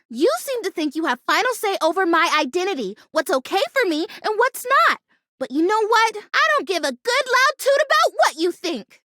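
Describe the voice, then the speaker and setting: Very high-pitched, sweet little talking houseplant. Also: female voice, pushed and bright, no room sound, studio quality, heavily acted. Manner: quaint, folksy exclamations, earnest and gushing. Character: sweet, plucky, excitable female talking houseplant. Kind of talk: video game character acting.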